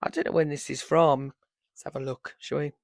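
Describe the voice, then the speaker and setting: A woman in her fifties, hoarse from losing her voice, chatting casually and rambling about books on her vlog into a microphone on a holder. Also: low-pitched, steady tone, brisk, dry recording, clean studio sound. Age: 50s. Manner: chatty, rambling, warm fond asides. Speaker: book vlogger.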